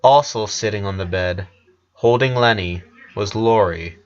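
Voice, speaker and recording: Slow-paced man narrating, dull recording. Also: on the low side. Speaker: fan fiction narrator. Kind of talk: scripted story narration.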